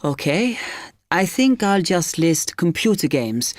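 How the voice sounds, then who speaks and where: A man's voice: high voice, very animated, slow, dry recording, heavily acted. An actor playing a young male postgraduate student whose first language is German, asking hesitant, polite questions in a scripted exam dialogue. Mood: unsure. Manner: hesitant, polite, many ums. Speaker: new international postgraduate student.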